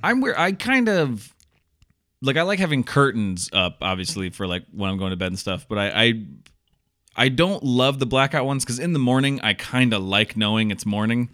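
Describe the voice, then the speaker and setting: A man co-hosting a comedy podcast, joking casually and acting out his quips in an animated way. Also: medium pitch, normal speed. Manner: animated, acts out quips in exaggerated voices. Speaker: podcast host.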